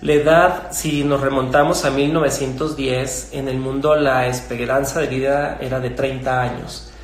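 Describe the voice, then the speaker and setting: A middle-aged man with a Mexican Spanish accent, a gynecologist explaining things in a measured way with fillers and restarts. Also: mid-range voice, little pitch movement, slight background noise. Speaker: gynecologist guest. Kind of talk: conversational explanation. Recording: echoey room with faint ambience.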